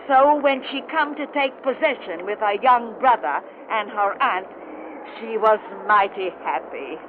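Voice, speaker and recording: A woman playing an ancient, folksy witch who spins spooky yarns in an old-time radio horror show. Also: on the high side. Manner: folksy, slow, cackling storyteller. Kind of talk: 1930s radio horror hosting. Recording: muffled, noisy old radio recording.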